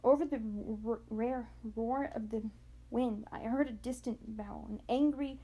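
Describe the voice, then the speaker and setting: A woman reading a children's novel aloud in a halting way, often stumbling and going back over words. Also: mid-range voice, creaky, warm tone, breathy edge, poor audio. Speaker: amateur audiobook reader.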